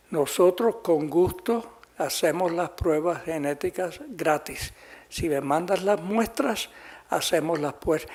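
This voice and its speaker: A man's voice: on the high side, breathy edge. A man, a Spanish speaker, giving a plain explanatory conference talk with self-corrections. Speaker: researcher speaker. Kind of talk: conference lecture.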